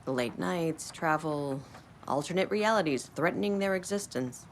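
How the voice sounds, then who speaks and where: Dry, no-nonsense female bureau agent for a game cutscene, slightly low voice over faint ambience. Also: expressive, moderate pace. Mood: matter-of-fact. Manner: wry, blunt, matter-of-fact. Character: brisk, wry female government bureau agent in authority. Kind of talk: video game cutscene acting. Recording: light ambient background noise.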